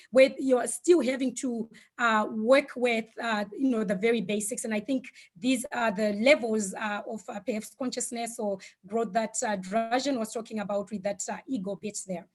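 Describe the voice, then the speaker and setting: A woman, a Shona speaker, giving an informal online presentation in a reflective, hesitant way, often pausing mid-thought. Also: on the high side. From Zimbabwe. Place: online video call.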